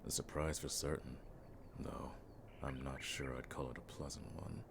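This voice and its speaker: Man acting a very deep, gravelly veteran monster hunter. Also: little pitch movement, background noise. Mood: curious, wry. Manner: dry, unhurried, wryly formal speech. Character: weathered veteran fantasy monster hunter, dry, world-weary man. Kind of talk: scripted fantasy roleplay audio drama.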